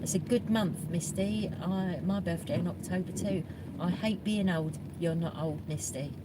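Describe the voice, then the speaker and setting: A woman's voice: on the low side, normal speed. A woman co-hosting a live drive stream, chatty and reading out viewer comments with many ums and uhs. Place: in a moving car. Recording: loud road noise in car.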